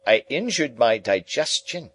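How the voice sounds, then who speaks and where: A man's voice: medium pitch, big pitch swings, measured pace, fairly bright tone, pitched above the performer's own voice. A man voicing an indignant, self-pitying, theatrical middle-aged society lady in an audiobook, with emphatic, exclamatory delivery. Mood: righteous indignation. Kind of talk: dramatised audiobook reading.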